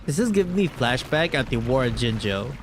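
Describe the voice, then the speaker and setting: A man's voice: medium pitch, expressive, brisk, a little hiss or hum. A man reacting casually to a video game as he plays, talking in slangy, profane, informal language. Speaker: gaming video creator playing and reacting. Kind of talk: casual gameplay reaction commentary.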